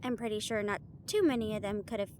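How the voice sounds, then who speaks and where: A woman's voice: medium pitch, quick pace, a little mellow, slight background noise, close to an everyday voice. A woman playing an earnest, studious, slightly bossy young woman in a scripted audio roleplay, speaking in an organized, teasing, formal way. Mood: eager, proud.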